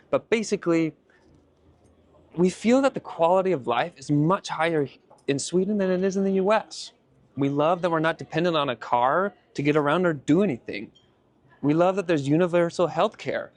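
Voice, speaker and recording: A middle-aged man from Utah telling his story in a lively, playful vlog style. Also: a little high. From United States (Utah). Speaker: vlogger. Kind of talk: casual vlog narration.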